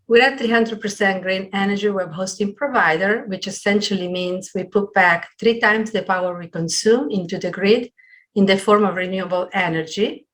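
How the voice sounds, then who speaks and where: A middle-aged woman hosting a webinar on a video call from a small room at home, introducing things in a halting, partly read way. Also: mid-range voice, a bit bright. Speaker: webinar host. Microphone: computer video call.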